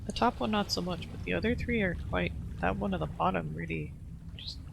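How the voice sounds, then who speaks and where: A woman's voice: on the low side, brisk, vocal fry, background noise. A woman giving casual live commentary as a game streamer, with offhand, wry reactions.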